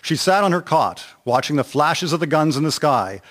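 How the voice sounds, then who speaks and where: A man narrating a historical radio drama from a script in a measured, formal reading. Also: on the high side, expressive, fairly bright tone.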